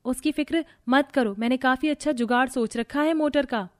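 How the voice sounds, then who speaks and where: A woman's voice: high-pitched, moderate pace, lightly acted. A woman voicing an earnest, practical woman of a poor household in a family cartoon, explaining things. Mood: thoughtful. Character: poor, practical mother-in-law and resourceful daughter-in-law. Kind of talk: Hindi family cartoon acting.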